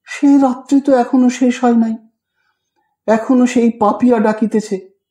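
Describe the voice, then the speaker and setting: High-pitched, slow male storyteller. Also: steady tone, dark tone. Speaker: audiobook narrator. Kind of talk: scripted literary story narration.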